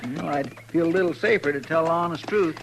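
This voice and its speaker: An actor playing an anxious, folksy deputy with a twangy Western American drawl in a 1950s radio western. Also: male voice, fairly high pitch, quick pace, creaky. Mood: uneasy. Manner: folksy, deferential. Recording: noisy old 1950s radio recording.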